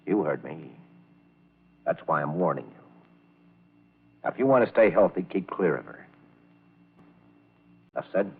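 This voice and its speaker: An actor playing a gruff, jealous, bossy nightclub owner in an old-time radio crime drama, with a gravelly, husky voice. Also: male voice, rumbling low, very animated, unhurried, pauses often, heavily acted. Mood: menacing. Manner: blunt, bossy, menacing. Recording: tinny, narrow-band vintage radio recording.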